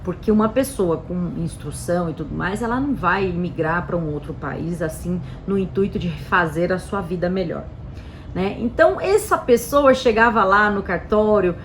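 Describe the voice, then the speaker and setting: A woman's voice: fairly low pitch, normal speed, noisy background. A woman with a Brazilian Portuguese accent explaining things casually to camera in a chatty way, often tagging her sentences with "né" and "tá". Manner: chatty, frequent "né" and "tá" tags, animated emphasis. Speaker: Italian citizenship specialist presenting a channel.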